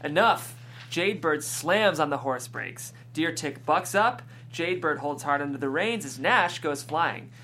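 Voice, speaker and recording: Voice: mid-range voice, animated, unhurried, pushed and bright, slight background noise. A person reading screenplay stage directions aloud as scripted narration at a table read.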